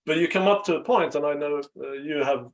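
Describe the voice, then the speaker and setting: A middle-aged man from Sweden speaks reflectively as an interview guest, pausing often and checking in with "right?". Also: fairly high pitch, slight background noise. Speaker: podcast guest, life coach.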